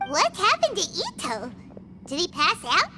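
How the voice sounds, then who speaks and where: A woman voicing a tiny, excitable, fussy childlike fairy companion in a video game, squeaky and exclamatory. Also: unhurried, exaggerated voice. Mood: alarmed. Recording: loud music behind the voice.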